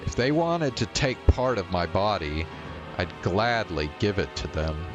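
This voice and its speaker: A man with a very low voice narrates a visual novel in the first person. His reading is dramatic and deliberate, moving along at a slow pace. Music plays clearly behind him. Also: animated, muffled recording.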